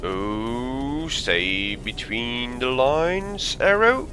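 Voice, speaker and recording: Guy, on his english, bright tone, talking slow while he drives in a game, lots of uhs. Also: medium pitch, expressive, faint music behind. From Belgium. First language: Dutch. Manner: thinking aloud, easygoing, frequent ums and uhs. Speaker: game streamer. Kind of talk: live gameplay commentary.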